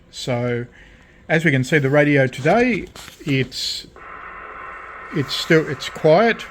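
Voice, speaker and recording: A man with a mid-pitched voice talks through a repair at his workbench. He is relaxed and unhurried, thinking aloud with plenty of ums and restarts. A faint hum of workshop ambience sits behind him. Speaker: radio restorer. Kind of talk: casual repair vlog narration. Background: rain storm outside.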